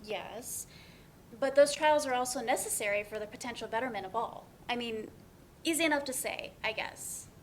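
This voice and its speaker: A woman's voice: on the high side, a little hiss or hum, natural voice, low-quality recording. A woman playing an earnest young professional in a dramatic reading of a stage play, speaking conversationally with small hesitations. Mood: thoughtful. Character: Kat, earnest, apologetic young professional woman, teasing friend.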